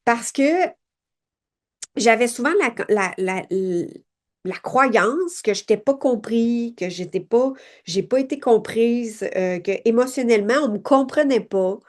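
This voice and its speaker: A woman's voice: on the high side, expressive, unhurried. A woman hosts a podcast, speaking in an earnest, searching way with many fillers and rhetorical questions. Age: late 40s. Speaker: podcast host. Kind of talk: reflective personal monologue.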